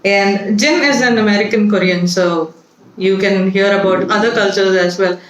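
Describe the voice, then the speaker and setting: Casting: woman host, mid-pitched, friendly and a bit hesitant, roomy echoey sound. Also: normal speed. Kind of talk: hosting an online interview.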